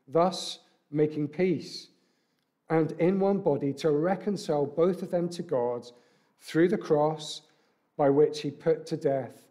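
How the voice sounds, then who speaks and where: Man, 30s, preacher; slightly croaky, fairly high voice, conversational sermon delivery at a church lectern mic. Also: dark tone. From England. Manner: conversational, with filler ums and rhetorical questions. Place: large church hall with brick wall, indoors. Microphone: stand mic at a lectern.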